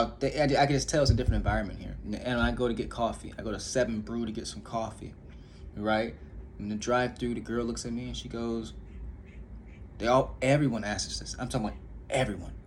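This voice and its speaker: A man's voice: medium pitch, a little hiss or hum. A young man from Indiana talking candidly to camera in a loose, rambling vlog, stopping and restarting often with lots of fillers. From Indiana, USA. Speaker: vlogger. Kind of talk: casual rambling monologue.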